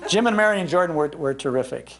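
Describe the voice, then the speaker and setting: An older American man giving an informal, anecdotal public talk in an animated, conversational manner. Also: on the high side, quick pace, creaky, a little hiss or hum. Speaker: speaker on old-time radio history, broadcaster. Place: public library talk before an audience.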